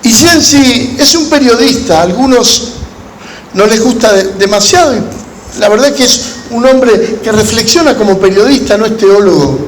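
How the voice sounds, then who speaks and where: An Argentine man preaches in a noticeably high voice, phrasing deliberately and leaving pauses as he moves between teaching and storytelling. The sound is echoey, with faint noise behind him. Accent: Argentine Spanish. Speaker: pastor. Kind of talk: sermon to a gathering of pastors, with storytelling. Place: large room at a church conference. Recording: echoey hall with background noise.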